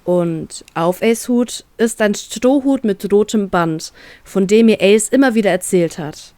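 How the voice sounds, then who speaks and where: A woman's voice: medium pitch, a little slow, mellow, no room sound. Woman narrating dramatically, dry close sound. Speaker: narrator of fan fiction audio story. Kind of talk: dramatic scripted story narration.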